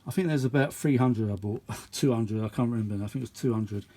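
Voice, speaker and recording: A man's voice: medium pitch, fast, warm tone. A middle-aged or older man giving casual, chatty repair commentary, rambling and thinking aloud as he works. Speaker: vintage audio repair vlogger.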